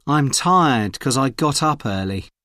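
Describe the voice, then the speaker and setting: A man reading short example sentences clearly for a language course. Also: mid-range voice, dry recording. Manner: slow, clear, expressive reading. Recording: dry studio recording.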